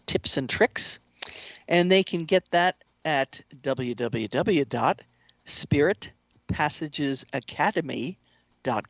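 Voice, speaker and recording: A woman's voice: deep voice, no room sound. A woman speaking as an interview guest, explaining things in a conversational, instructive way. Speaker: interview guest, shamanic practitioner and author. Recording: slightly dull lo-fi audio.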